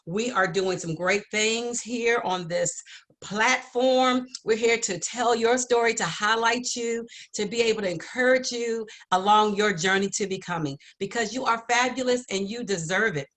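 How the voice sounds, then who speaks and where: A woman's voice: on the high side, moderate pace, a bit bright. A woman hosting an interview show in an effusive, affirming and encouraging way.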